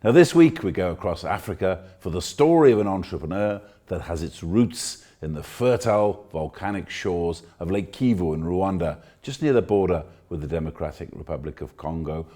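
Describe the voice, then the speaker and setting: Deep-voiced male TV presenter. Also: mellow. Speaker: TV host. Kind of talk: scripted presenting.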